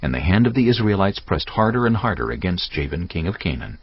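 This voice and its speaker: Deep-voiced male scripture narrator, expressive. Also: quick pace, breathy edge, lo-fi sound. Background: music.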